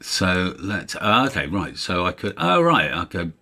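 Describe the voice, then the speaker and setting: A man hosting a casual software try-out, reacting in short bursts, repeating words and muttering to himself. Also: low-pitched, very animated, unhurried. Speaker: host trying out software. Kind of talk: casual chat while following a demonstration.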